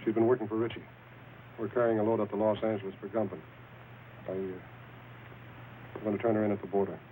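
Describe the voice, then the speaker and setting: Fast-talking hard-boiled American lawman, played by a man. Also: fairly low pitch, little pitch movement, mellow, slight background noise, close to an everyday voice. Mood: cool, businesslike. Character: laconic tough undercover American lawman, cool and wry. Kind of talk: old-time radio noir acting. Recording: tinny old radio recording with music.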